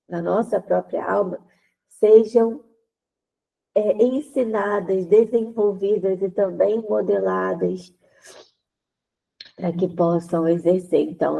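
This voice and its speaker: A congested woman speaks with a stuffy, nasal voice, gravelly and dark in tone. She talks at an unhurried pace, gently and deliberately, as she leads a women's faith group. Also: mid-range voice, rough recording. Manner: gentle, deliberate, repeats phrases while searching for words. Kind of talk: prayer then slow spoken teaching.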